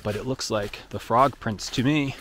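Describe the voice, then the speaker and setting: A man's voice: mid-range voice, moderate pace, a bit bright, a little hiss or hum. A man giving a playful walk-through of a decorated outdoor trail at night, narrating in a theatrical storybook manner while holding the camera.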